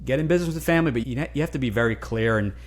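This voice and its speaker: Animated man with lots of restarts. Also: mid-range voice, rapid-fire. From New Jersey, USA. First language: Italian. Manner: animated, frequent restarts and repeated words. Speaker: guest, franchise consultant. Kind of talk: casual interview conversation.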